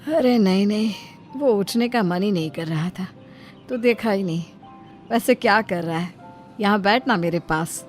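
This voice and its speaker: A woman's voice: medium pitch, moderate pace, natural voice. Woman voicing a querulous, bossy elderly mother. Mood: casual, needy. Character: ailing possessive elderly mother-in-law, bossy and wary. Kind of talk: animated moral story dialogue. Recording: music behind the voice. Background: background music.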